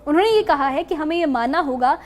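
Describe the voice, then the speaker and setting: A woman's voice: high voice, animated, fast talker. A woman reading a scripted news report as a reporter. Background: background music bed.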